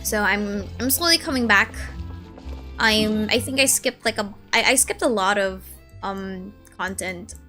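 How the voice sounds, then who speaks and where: A woman in her early twenties chatting casually on a gaming stream, excitable and gushing, rambling as she goes. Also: mid-range voice, unhurried. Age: early 20s. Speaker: streamer and video essay creator. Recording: music behind the voice. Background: game music.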